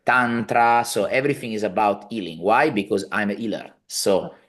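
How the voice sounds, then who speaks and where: A man's voice: fairly low pitch, moderate pace, a bit bright. A man in his thirties with an Italian accent, explaining his healing practice conversationally as an interview guest on a video call. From Italy. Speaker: podcast guest, healer, family constellations practitioner. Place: living room at home, indoors, video call.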